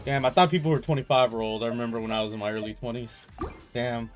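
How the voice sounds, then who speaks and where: A man's voice: medium pitch, steady tone, fast talker. A man in his twenties chatting casually and informally with viewers as he plays, rambling and teasing. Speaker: game livestreamer. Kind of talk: casual chat while gaming, answering viewers. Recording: game music behind the voice. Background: video game music.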